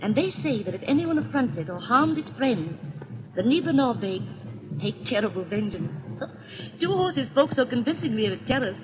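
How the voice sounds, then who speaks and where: A woman playing a gentle, devoted invalid wife, hesitant and anxious to please, with refined old radio drama delivery. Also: a little high, very animated, normal speed. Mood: eager, gentle. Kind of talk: 1930s radio drama acting. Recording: muffled old radio recording.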